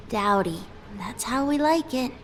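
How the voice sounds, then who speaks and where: A woman's voice: on the high side, lively intonation, slow pace, a little hiss or hum. A woman playing an anxious young girl who fears getting in trouble, in a video game. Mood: guarded, reluctant. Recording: music behind the voice.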